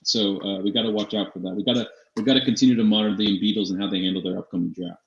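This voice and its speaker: Echoey, low-voiced man chatting sports. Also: steady tone, racing. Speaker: co-host of a fantasy sports show. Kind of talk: casual sports chat. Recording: slightly dull, echoey room sound.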